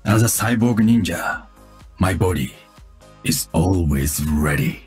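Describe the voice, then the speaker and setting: An actor playing a calm, wry male cyborg ninja in a video game, with measured, deadpan delivery. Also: low voice, husky, soft background music, heavily acted. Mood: solemn. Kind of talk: video game hero voice lines.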